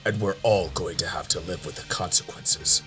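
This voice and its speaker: An actor playing a hard-nosed, pragmatic robot officer with a clipped, insistent, bitter manner. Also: male voice, low voice, normal speed, over loud music, lightly acted. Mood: tense, resolute.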